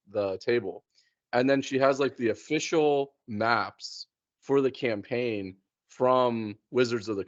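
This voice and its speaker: A man talks slowly and casually, halting a little as he finds his words. His pitch is average, and the sound is dry and somewhat muffled. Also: dry recording. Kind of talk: casual chat.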